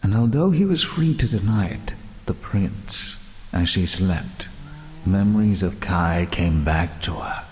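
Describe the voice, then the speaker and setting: A man acting as the solemn, measured narrator of a fairy-tale audio play. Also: low voice, lively intonation, darkish tone. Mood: solemn, tender. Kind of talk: scripted storybook narration. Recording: long reverb, faint background noise.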